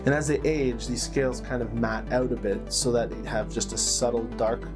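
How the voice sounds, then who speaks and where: A man hosting as a game master, narrating fantasy lore and story like a measured storyteller with dramatic pauses. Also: mid-range voice, fairly even intonation. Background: plucked guitar music.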